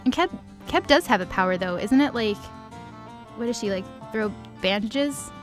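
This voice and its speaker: A woman's voice: medium pitch, normal speed, soft background music. A woman in casual comedic chat, joking and slangy, peppering her sentences with "like". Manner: joking, slangy, uses like constantly. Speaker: co-host reacting to a story recap.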